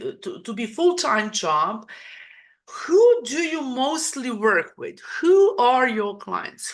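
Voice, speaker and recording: Bright-voiced woman host, halting speech. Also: on the high side. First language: non-English. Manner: halting, repeats words, frequent "you know". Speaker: podcast host. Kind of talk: casual interview chat.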